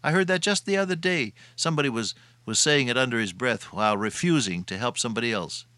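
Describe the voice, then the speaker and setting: Older man, preacher, folksy storytelling sermon, bright forceful voice, chuckling, dry close mic. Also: mid-range voice, quick pace, no echo. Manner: homespun storytelling, chuckles at his own stories.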